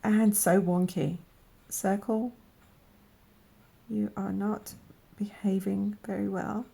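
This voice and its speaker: A woman with a croaky voice from a cold, slowly musing aloud in a soft-spoken way as she tries out paints, sometimes dropping to a whisper. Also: medium pitch, steady tone. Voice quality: croaky, gravelly. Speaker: art vlogger. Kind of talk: casual voice-over while swatching paints.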